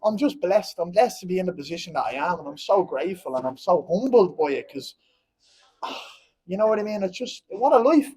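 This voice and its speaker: Brief: young Dublin fighter, husky, slightly high voice, blunt and rambling. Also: male voice, animated, fairly quick, dark tone. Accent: Dublin Irish. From Ireland. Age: 20s. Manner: blunt, heated and rambling, keeps asking "you know what I mean". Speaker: fighter at a press conference. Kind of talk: post-fight press conference.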